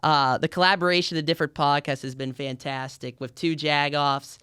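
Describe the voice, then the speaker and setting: Upbeat young man, very clear. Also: on the high side, steady tone, fairly quick, bright, forceful tone, dry recording, clean studio sound. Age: young adult. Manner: upbeat, outgoing, talks with many restarts. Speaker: podcast guest. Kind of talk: casual interview chat. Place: university media studio.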